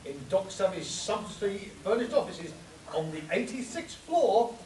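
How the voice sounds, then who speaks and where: A man's voice: high-pitched, very animated, moderate pace, darkish tone. A man giving theatrical, jokey narration as announcer of a live spoof radio show. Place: convention hall before a live audience. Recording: echoey distant mic, noisy room.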